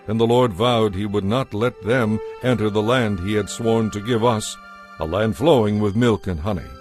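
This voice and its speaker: Low-pitched man narrating scripture solemnly. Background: background music.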